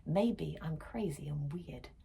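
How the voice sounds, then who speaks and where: A woman's voice: low-pitched, takes it slowly, vocal fry, mellow, slightly rough. A woman reading a children's story aloud expressively, as for a school library.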